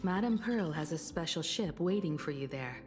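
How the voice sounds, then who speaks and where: Low, calm, formal woman. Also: moderate pace. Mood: calm, earnest. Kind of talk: video game voice acting. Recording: music behind the voice.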